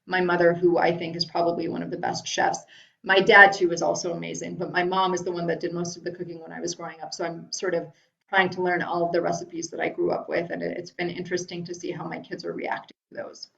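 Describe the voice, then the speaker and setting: A woman's voice: on the low side, level tone, fast talker, gravelly, a bit bright. Chatty woman on a roomy, dull video call. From Iran. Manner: chatty, frequent ums and restarts. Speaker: webinar speaker answering viewer questions. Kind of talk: casual live webinar talk. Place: room at home.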